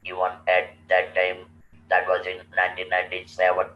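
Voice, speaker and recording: Slow, flat, low man in his 60s. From India. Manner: slow, deliberate, halting with restarts. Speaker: guest. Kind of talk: slow personal storytelling. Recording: roomy, distant, muffled with faint noise.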